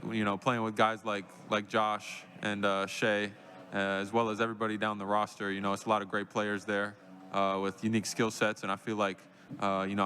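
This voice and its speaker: Bright-toned young man, level delivery. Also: on the low side, slight background noise. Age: young adult. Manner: calm, lots of "uh" and "you know". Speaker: draft pick at press conference. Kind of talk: press conference answers. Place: press conference room.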